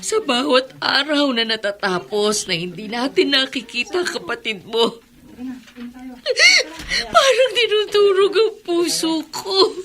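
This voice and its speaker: A woman's voice: high-pitched, big pitch swings, unhurried, a bit bright, exaggerated voice. An actress playing a middle-aged, desperate mother searching for her missing child in a Tagalog radio drama, with pleading, emotional delivery. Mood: anguished.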